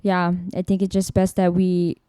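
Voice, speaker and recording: A woman in her twenties, co-hosting a podcast and talking in a relaxed, reflective way. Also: fairly low pitch, normal speed, clean tone, dry recording, studio quality. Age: 20s. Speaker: podcast co-host. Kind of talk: casual chat.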